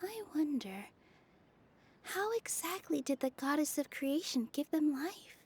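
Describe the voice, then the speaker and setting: A woman voicing a gentle young forest fairy in a video game, soft and earnest, with music behind. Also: high voice, lively intonation, a little slow, slight background noise. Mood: thoughtful.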